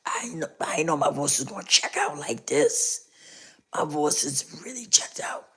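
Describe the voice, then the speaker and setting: A woman hosting a casual live stream, hoarse with a rough, scratchy voice, repeating herself as she talks straight to her viewers. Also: deep voice, animated, moderate pace, a bit bright, in a whisper.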